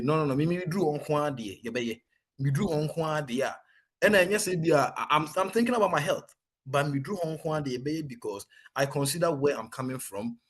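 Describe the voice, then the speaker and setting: A young Ghanaian soldier with a noticeably husky voice and a Ghanaian accent. He talks in an earnest, animated way, restarting his sentences often. His pitch sits in an ordinary middle range for a man. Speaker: serving soldier. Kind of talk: conversational interview, mixing Twi and English.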